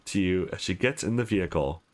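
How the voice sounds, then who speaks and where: A man's voice: low-pitched, expressive, a little fast, darkish tone. A man reading a visual novel aloud as a let's play host, with an amused, reactive manner. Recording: music behind the voice. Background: game music.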